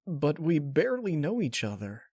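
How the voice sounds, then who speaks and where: A man's voice: on the high side, big pitch swings, normal speed, a little mellow, slightly rough, no echo, clean studio sound, voice pushed up, heavily acted. A man voicing an earnest, unsure young male dragon in a story reading, hesitant and boyish. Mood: confused.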